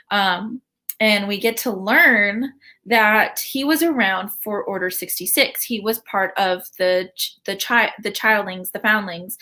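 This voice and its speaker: A woman hosting a casual commentary video, chatty and full of ums and restarts, with a voice she calls high-pitched. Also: sharp tone. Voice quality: says her voice is high-pitched. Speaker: host.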